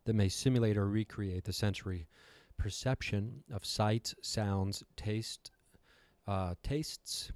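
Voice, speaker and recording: A man with a slightly raspy voice, giving a casual, rambling talk with wry, self-deprecating asides. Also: on the low side, slow pace, darkish tone, no room sound. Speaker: host giving a talk on meditation. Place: hotel room.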